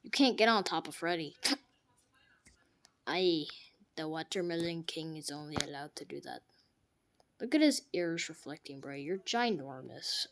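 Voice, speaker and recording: A woman giving jokey gameplay commentary, trash-talking the game characters and swinging from hushed mutters to sudden loud outbursts. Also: low-pitched, expressive, measured pace, pauses often, slight background noise. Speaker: gamer.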